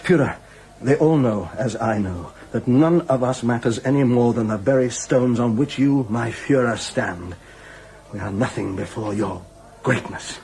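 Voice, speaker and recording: Guy doing a flattering jovial henchman in a radio play, lays it on thick, medium pitch, slight noise. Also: measured pace, natural voice, rough recording. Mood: fawning. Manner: flattering, effusive, theatrical. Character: fawning, jovial male senior henchman of a dictator. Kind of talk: dramatic radio play acting.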